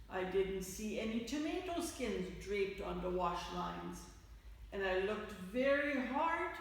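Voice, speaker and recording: A woman reading a picture book aloud to children, with expressive, deliberate phrasing that stresses key words. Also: medium pitch. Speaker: children's story reader. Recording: echoey hall, distant mic, faint noise.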